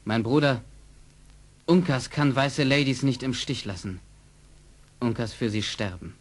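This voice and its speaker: An actor playing a brave, proud young Mohican warrior who speaks in solemn, clipped broken phrases. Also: male voice, medium pitch, unhurried, close to an everyday voice.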